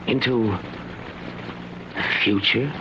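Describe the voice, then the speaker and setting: An actor playing a loyal, earnest assistant to a professor, respectful and eager, in 1950s radio drama style. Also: male voice, mid-range voice, slow, natural voice. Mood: curious, respectful. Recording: dull, noisy old radio recording.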